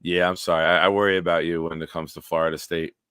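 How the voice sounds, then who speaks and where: A man in his forties with a smooth, very low voice hosts a live stream, tossing blunt, profane banter at his chat. His delivery is forceful and punchy. The sound is dry and close, with no room around it. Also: little pitch movement, fast, dry recording. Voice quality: deep, smooth voice. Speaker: live-stream show host. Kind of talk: casual live-stream chat, reading viewer comments.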